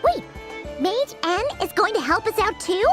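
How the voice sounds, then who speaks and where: A woman voicing a tiny, excitable, naive fairy companion girl in a video game, with a childlike, wide-eyed way of talking. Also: extremely high pitch, moderate pace. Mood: impressed. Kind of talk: scripted video game character dialogue. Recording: loud music under the voice.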